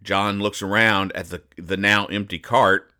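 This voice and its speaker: A man's voice: fairly low pitch, fairly even intonation, unhurried, pushed and bright. A man hosting a podcast, explaining comic strips in a conversational, mock-serious, pedantic way with frequent digressions. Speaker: podcast host.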